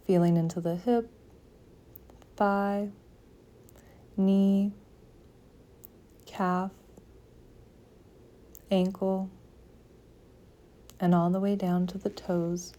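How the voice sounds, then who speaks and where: A woman leading a guided meditation, giving calm, gentle, soothing instructions with long pauses between phrases. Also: on the low side. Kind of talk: guided yoga nidra meditation.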